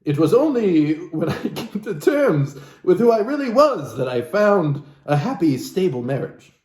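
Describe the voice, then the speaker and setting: A man with a highish, very animated voice reads a book aloud with a theatrical, playful touch. He drops in wry, off-the-cuff commentary as he goes. The room rings with echo around him. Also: a little slow. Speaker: comedic reader and commentator. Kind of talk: reading a self-help book aloud with joking ad-libbed asides. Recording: echoey room with background hiss.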